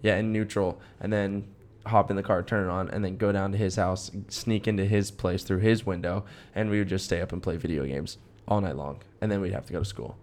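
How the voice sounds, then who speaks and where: Low-pitched young American man, slangy. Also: steady tone, brisk, a little mellow. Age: young adult. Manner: slangy, peppered with "like", "dude" and "bro". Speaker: podcast co-host. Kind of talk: casual chat and personal storytelling.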